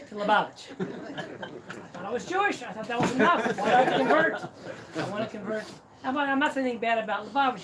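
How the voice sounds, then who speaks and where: A middle-aged man from Ohio telling a self-deprecating, joking personal story to a crowd. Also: sits high. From Cincinnati, Ohio, US. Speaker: speaker at a celebration. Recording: distant mic, noisy hall.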